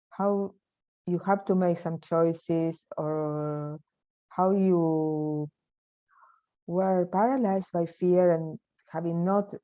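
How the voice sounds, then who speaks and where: Latin-accented woman storyteller, mellow and muffled. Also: lowish voice. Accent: Latin American. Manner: halting, non-native English with small grammar slips. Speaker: speaker at a storytelling talk. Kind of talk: personal anecdote and advice to an audience. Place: online video meeting.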